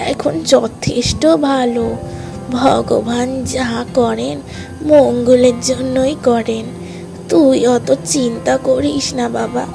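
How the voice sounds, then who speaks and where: A woman voicing a gentle, pious village mother in a cartoon, soothing and advising. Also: a little high, gravelly, exaggerated voice. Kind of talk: cartoon character voice. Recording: music behind the voice.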